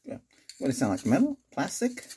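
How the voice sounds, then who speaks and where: A middle-aged man doing an ASMR doctor roleplay, giving short questions and instructions to a patient, with loud noise and clicks behind him. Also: high-pitched, huge pitch swings, fast. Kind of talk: ASMR medical exam roleplay.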